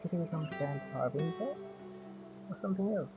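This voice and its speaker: Playful low-voiced woman streaming a game. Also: animated, fairly quick, over music. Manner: playful, slangy, short interjections. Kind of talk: casual live reaction commentary.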